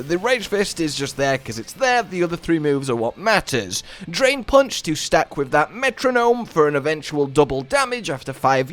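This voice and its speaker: A male gaming video host with a fairly high voice walks listeners through a game guide. His delivery is chatty and very animated, leaning hard on key words and stretching them for emphasis. The style is casual but planned out, like a practised tutorial narration.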